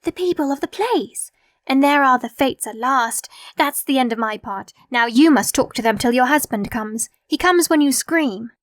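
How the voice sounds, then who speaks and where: A woman plays a wistful, beguiling shadow in a verse play. The voice is high and lilting, theatrical, and recorded dry and close. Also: expressive, moderate pace, no echo. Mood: matter-of-fact.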